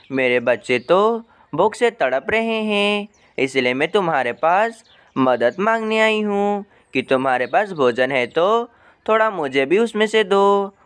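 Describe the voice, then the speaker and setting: A worried mother bird from a cartoon fable, acted out by a man. The voice is high and very clear, with a lively swing in pitch. It pleads and laments with tender sorrow. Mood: pleading. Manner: plaintive, lamenting. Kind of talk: cartoon moral story acting.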